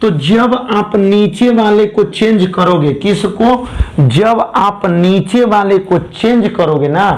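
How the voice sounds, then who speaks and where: A man teaching a math class with a hoarse voice, speaking with a tinge and coaxing students through each step. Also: high voice. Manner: coaxing, repeats steps and checks understanding.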